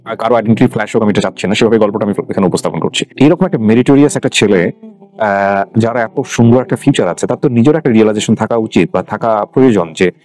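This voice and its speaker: A man's voice: fairly low pitch, quick pace, a little mellow. A man with a Bangladeshi accent hosting an interview, asking probing questions and mixing English words into his speech. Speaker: radio show host interviewing a guest.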